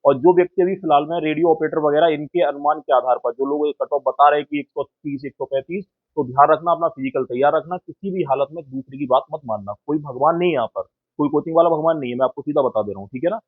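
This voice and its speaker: A man's voice: fairly high pitch, quick pace, few pauses. A man giving informal, blunt, exhortative advice to students and often checking for agreement, on a muffled, tinny recording. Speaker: exam coaching YouTuber. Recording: muffled, tinny phone-like lo-fi audio.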